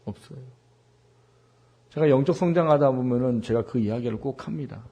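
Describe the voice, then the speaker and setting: Husky middle-aged male preacher. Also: mid-range voice, brisk, mellow. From South Korea. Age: 40s. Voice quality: husky, worn voice. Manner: rhetorical questions to the congregation, emphatic repetition. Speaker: pastor preaching. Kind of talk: Sunday sermon with personal anecdotes.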